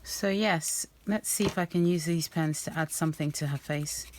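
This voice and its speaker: Low-voiced woman chatting while crafting. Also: normal speed, slight background noise. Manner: rambling, soft-spoken, many ums and restarts. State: slightly croaky voice. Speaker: art journaling vlogger. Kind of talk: casual craft-along chat.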